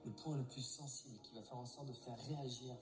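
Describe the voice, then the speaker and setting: Brief: male coach, preachy, echoey clip with loud music behind. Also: mid-range voice, steady tone, fairly quick. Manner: preachy, assertive. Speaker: self-help coach. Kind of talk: lecturing video clips.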